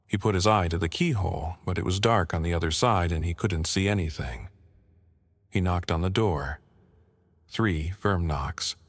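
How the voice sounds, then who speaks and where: Deep voice guy narrating a creepypasta, matter of fact, measured, short clipped sentences. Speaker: horror story narrator. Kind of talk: scripted narration of a first-person creepypasta.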